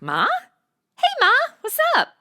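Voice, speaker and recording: A goofy, brash modern gal , acted by a woman, with a high, bright voice that swoops all over. She tosses off flippant wisecracks casually, pitched for audio comedy. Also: wildly swooping pitch, measured pace, exaggerated voice. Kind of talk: comedy audio drama acting.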